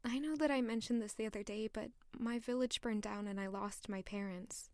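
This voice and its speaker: An actress playing an earnest young maid who narrates her own story, polite and soft-spoken. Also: on the high side, fairly even intonation, fairly quick, dry recording, clean studio sound, lightly acted. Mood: gentle. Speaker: maid and first-person narrator. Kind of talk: audio drama acting and narration.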